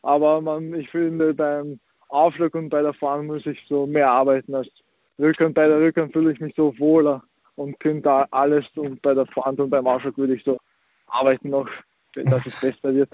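A teenage man from Austria answers in short, halting phrases, padding them with the same filler words. His voice is fairly high for a man and stays flat. The sound is dry and thin, as if it came over a phone line. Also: no room sound. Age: teens. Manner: hesitant, repeats filler words. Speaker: young tennis player. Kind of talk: interview answers.

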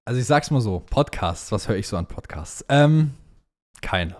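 A man's voice: medium pitch, animated, moderate pace. A young adult man co-hosting a podcast, chatting casually with plenty of youth slang and emphatic opinions. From German, partly raised in Dubai. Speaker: podcast co-host.

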